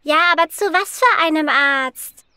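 A curious, caring little cartoon girl, with a very high, bright voice. She speaks slowly and expressively, thinking out loud in simple words. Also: no echo. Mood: puzzled. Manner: simple, slow, expressive wondering aloud. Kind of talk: children's cartoon dubbing.

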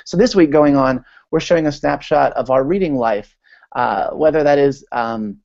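A man's voice: on the high side, moderate pace, gravelly, a little mellow, dry recording. An American man in his thirties giving an informal, chatty and enthusiastic presentation as a guest on an online video call. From United States, Maryland. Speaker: guest speaker, literacy advocate. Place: small room at home, indoors. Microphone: video call with earphones. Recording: dull lo-fi video call audio.

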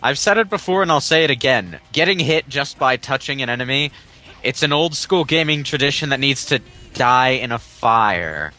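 A man's voice: mid-range voice, animated, normal speed, pushed and bright. A man playing a video game and commenting casually with friends, griping sarcastically and swearing freely. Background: video game music.

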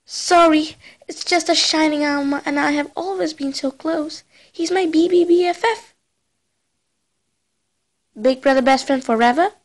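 A woman voicing a bookish young pony princess from a cartoon, earnest, excitable and dramatic in her delivery. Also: high-pitched, measured pace, long pauses. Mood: apologetic, fond. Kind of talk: cartoon character acting.

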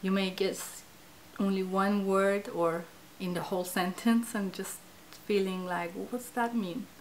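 A woman's voice: mid-range voice, fairly even intonation, measured pace, slight background noise, poor audio. Persian-accented woman in her thirties, musing. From Iran. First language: Farsi. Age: 30s. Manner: hesitant, searching for words, many fillers. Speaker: interviewee, language project participant. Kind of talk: reflective interview talk to camera.

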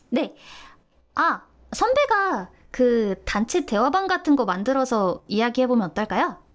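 A woman's voice: a little high, big pitch swings, unhurried. An actress playing a cheerful, teasing young female office worker in an amateur audio drama. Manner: bright, teasing, eager.

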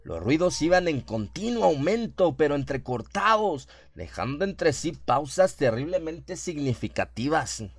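A man's voice: a little high, big pitch swings, measured pace, few pauses. A man reading scripted horror fiction as the dramatic narrator of a radio play.

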